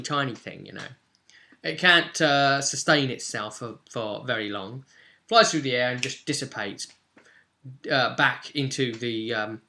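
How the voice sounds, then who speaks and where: A man recapping a tabletop roleplay session as game master, telling it informally with dramatic emphasis and suspenseful pauses. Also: mid-range voice, pushed and bright.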